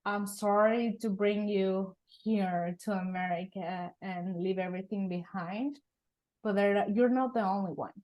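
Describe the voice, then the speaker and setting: Woman, earnest interview guest, average pitch. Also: steady tone, a little slow, darkish tone, rough recording. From Mexico. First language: Spanish. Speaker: guest, real estate investor. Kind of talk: interview storytelling.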